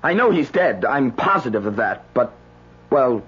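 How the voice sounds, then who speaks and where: An actor playing a nervous hospital doctor in a radio drama, hesitant and stammering. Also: male voice, mid-range voice, sing-song, moderate pace, a bit bright, husky, heavily acted. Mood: rattled, unsure. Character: nervous young male hospital doctor on duty, rattled. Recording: muffled, lightly noisy old radio broadcast.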